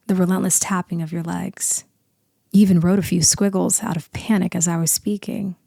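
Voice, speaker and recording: Woman acting a gravelly, husky, dominant female boss. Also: warm tone, dry recording, clean studio sound, exaggerated voice. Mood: cool, intimidating. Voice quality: deep, husky, breathy voice. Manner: slow, deliberate, often dropping into whispers. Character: dominant, seductive female boss, intimidating and teasing. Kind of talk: ASMR roleplay, slow intimate acting.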